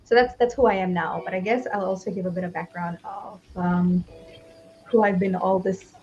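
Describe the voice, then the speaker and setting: A woman's voice: lowish voice, steady tone, normal speed, a little mellow, lo-fi sound. A young woman with a Malaysian English accent, a change consultant and coach, chatting in a casual livestream interview with frequent laughter. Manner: laughs often, chatty and upbeat.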